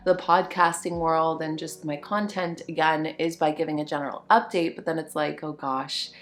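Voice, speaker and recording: A young woman, a little hoarse from a cold, giving a casual personal update as a podcast host, reflective and pausing to find her words. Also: low voice. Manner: reflective, searching for words, frequent restarts.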